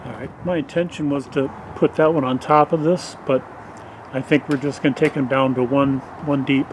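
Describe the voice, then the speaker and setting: A man's voice: mid-range voice, fairly even intonation, moderate pace. A man giving plain, matter-of-fact instructions while he and a helper work a beehive in a backyard, recorded by a camera set at a distance. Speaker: beekeeper directing a helper. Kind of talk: casual instructions while working. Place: backyard beeyard outdoors. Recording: faint outdoor background noise.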